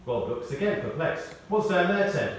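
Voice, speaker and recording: A man reading a prepared tribute aloud in short, measured phrases, with wry storytelling. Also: a little high, big pitch swings. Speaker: tribute speaker. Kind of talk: read-out memorial tribute with anecdotes. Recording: echoey hall, distant, faint noise.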